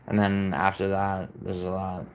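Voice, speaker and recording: A man's voice: low voice, monotone, unhurried, a little hiss or hum. A man who was born in Italy and raised in New York, chatting casually on a live stream and answering his viewers' messages in a rambling, profane way, with many fillers and restarts.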